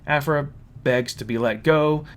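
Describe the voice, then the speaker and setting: A man casually recapping a story as a reviewer, with an animated manner and mocking asides. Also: on the high side, measured pace. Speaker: reviewer hosting a fan recap. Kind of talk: casual spoken plot recap and commentary.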